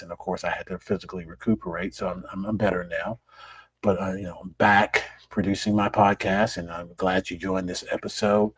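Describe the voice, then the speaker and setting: Middle-aged man, raspy and hoarse, low voice, dry close sound; easygoing solo podcast host. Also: no room sound. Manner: relaxed, conversational, repeats words. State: hoarse after illness. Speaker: plastic surgeon podcast host.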